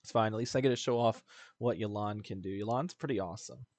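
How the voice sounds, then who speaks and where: A man's voice: on the low side, fast talker, a little mellow. A man chatting casually as he streams a video game, rambling in a slangy, jokey way. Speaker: live streamer.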